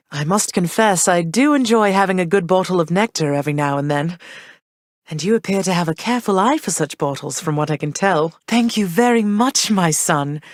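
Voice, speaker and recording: An actress voicing a gentle, motherly goddess queen of the underworld, speaking warmly and graciously in game voice lines. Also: on the low side, dry recording, lightly acted. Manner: warm, gracious, softly reassuring. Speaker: voice actor. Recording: dry studio voice recording.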